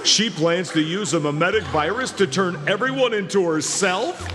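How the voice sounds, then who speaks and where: A man's voice: high voice, normal speed, close to an everyday voice. A man playing a flippant, exasperated young sidekick in a video game, slangy and given to exaggerated reactions. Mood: incredulous, wry. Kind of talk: video game character acting. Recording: long reverb, music behind.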